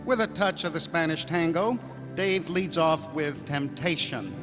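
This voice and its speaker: A man's voice: a little high, a little slow, music in the background, natural voice. A man playing a courteous, formal program announcer in a 1930s-style radio drama. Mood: formal, showy. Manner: formal, presentational. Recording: reverberant theater hall.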